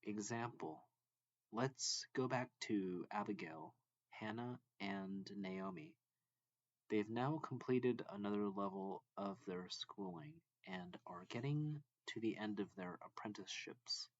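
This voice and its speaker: A man with a low voice reads a textbook lesson aloud. He goes very slowly and deliberately, setting out each short phrase on its own. The recording is a little dull. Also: animated, vocal fry, no room sound. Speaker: math reading tutor.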